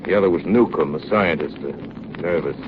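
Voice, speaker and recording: Elderly navy chief engineer played by a man, low-voiced, plainspoken, looking back; vintage radio drama, heavy hiss. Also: brisk, close to an everyday voice. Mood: recounting. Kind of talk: 1930s radio drama narration. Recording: muffled, noisy 1930s radio recording.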